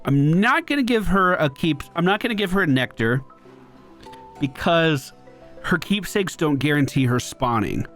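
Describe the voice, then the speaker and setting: A man's voice: on the high side, animated, normal speed, fairly bright tone. A man hosting a gaming video, chatting and thinking aloud analytically as he plays. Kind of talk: gameplay commentary. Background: faint game music.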